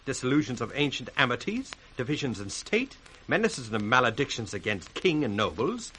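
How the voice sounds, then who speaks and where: An actor with a British accent playing a sly, ambitious bastard son in a theatrical, sardonic 1960s radio Shakespeare style. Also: male voice, mid-range voice, very animated, moderate pace, pushed and bright. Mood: feigned concern. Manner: theatrical, sardonic, crisply declaimed. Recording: faintly hissy 1960s radio recording.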